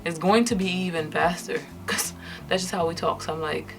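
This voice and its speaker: Young woman, light Trinidadian accent, low voice, tired, candid vlog chat, music playing behind. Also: normal speed. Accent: Trinidadian English, lightly. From Trinidad and Tobago. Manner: candid and chatty, many fillers and restarts. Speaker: vlogger. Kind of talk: casual chat to camera while doing makeup. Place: indoors at home, night.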